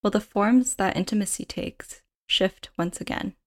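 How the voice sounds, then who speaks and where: A woman reading scripted podcast narration in a measured, reflective way. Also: medium pitch.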